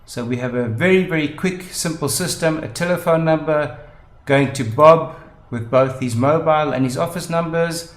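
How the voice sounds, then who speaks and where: A man presenting a software tutorial, explaining methodically step by step. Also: fairly high pitch, moderate pace. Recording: roomy, echoing voice-over.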